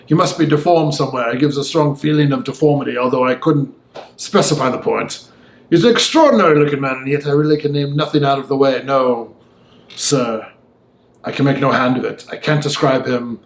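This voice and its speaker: A man voicing an opinionated young gentleman in an audiobook, talking in a formal, emphatic, discursive way. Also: medium pitch, a little fast, reverberant, natural voice. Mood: baffled distaste. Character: opinionated, talkative young Victorian gentleman, Mister Enfield. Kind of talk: audiobook character voice.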